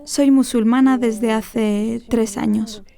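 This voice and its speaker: A young woman in her twenties tells her own story in an earnest, reflective way. Her voice sits a little high, with a mellow, warm tone. She speaks in a measured, sincere manner as an interviewee. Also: fairly even intonation, vocal fry. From France. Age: 20s. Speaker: interviewee, graduate student. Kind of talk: personal testimony.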